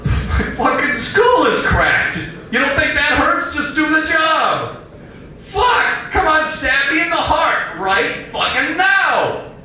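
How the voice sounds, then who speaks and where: A man performing an agonized, furious man demanding to be killed, in shrill, profane outbursts on a roomy stage recording. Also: very animated, takes it slowly, bright, forceful tone, heavily acted. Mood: desperate, furious. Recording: roomy live stage, distant mic, faint noise.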